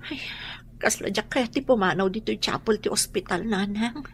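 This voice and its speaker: A woman's voice: medium pitch, normal speed, close to an everyday voice. A woman playing a worried, devoted mother and dutiful daughter in an Ilocano radio drama, speaking with earnest concern. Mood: anxious. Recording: noisy radio drama recording.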